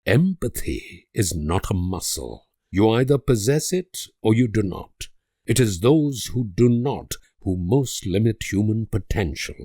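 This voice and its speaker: An actor playing a grave, idealistic and world-weary political leader in a strategy game, speaking in measured, solemn oratory with dramatic pauses. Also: male voice, fairly low pitch, warm tone, no room sound, clean studio sound. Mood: brooding.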